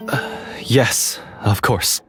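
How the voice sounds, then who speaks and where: A man playing an earnest, contrite young male hunter in a video game, halting and full of sighs. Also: over music. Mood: contrite, resolved.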